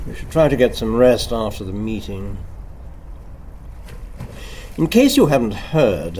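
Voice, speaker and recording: An actor in a radio play as a haughty, intense anarchist secretary, speaking in a dry, grave, brooding way. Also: male voice, on the low side, a little mellow, a little hiss or hum, natural voice.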